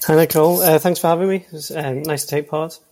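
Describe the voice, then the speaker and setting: A man's voice: a little high, fairly even intonation, fast, dark tone. A man with a Liverpool accent, a guest in a casual interview, talking hesitantly with many ums and restarts. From Liverpool, England. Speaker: podcast guest, senior consultant.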